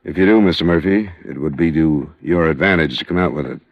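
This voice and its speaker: Cool hard-boiled detective, deep, matter-of-fact, vintage radio drama, muffled old recording. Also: male voice, fast talker, no echo. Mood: cool, probing. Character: hard-boiled freelance insurance investigator, cool and direct. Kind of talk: 1940s-50s radio crime drama, narration and dialogue.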